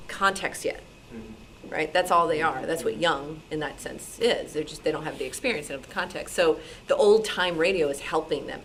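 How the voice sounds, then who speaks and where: A woman giving informal, encouraging answers as a radio show panelist at a meeting. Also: deep voice. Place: meeting room. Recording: noisy live meeting room.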